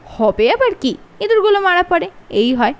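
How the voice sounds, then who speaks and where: A middle-aged uncle with a Bengali accent sounds grave but is quietly teasing, as a woman storyteller voices him. He speaks in a dry, deliberate way. This is storybook reading. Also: extremely high pitch, very animated, voice pushed up. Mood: mock grave.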